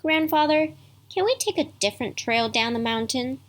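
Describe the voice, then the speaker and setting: A woman voicing a curious, excitable young granddaughter in a bedtime story, with eager questions. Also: high-pitched, normal speed, pitched above the performer's own voice. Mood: hopeful.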